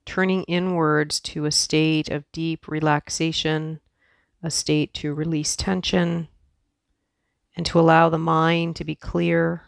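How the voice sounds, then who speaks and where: A woman teaching yoga guides a meditation softly and soothingly, leaving long pauses between her instructions. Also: sits low, level tone, creaky, dry recording, studio quality.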